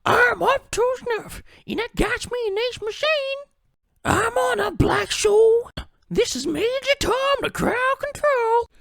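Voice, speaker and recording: A man voicing a tiny, boastful game creature in a squeaky falsetto. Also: animated, takes it slowly, gravelly, a bit bright, no echo, studio quality, full character voice. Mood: manic, boastful.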